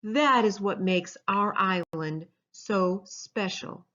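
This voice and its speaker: Storybook hero: kind, protective male turtle female reader, earnest, reassuring, measured delivery, lo-fi sound. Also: mid-range voice, fairly bright tone.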